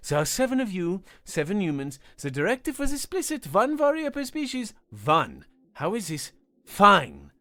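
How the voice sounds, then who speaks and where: A man's voice: sits high, huge pitch swings, normal speed, sharp tone, no echo, voice pushed up, exaggerated voice. A man voicing an anxious, formal male alien logistics officer whose voice climbs as he loses his composure. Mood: exasperated. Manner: formal, strained, voice rising in frustration.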